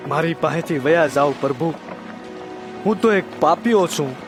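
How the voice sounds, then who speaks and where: A man's voice: on the high side, animated, normal speed. A man with a Kathiawadi Gujarati accent playing a humble, earnest village fisherman in a scripture audio drama. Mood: awed, ashamed. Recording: music under the voice.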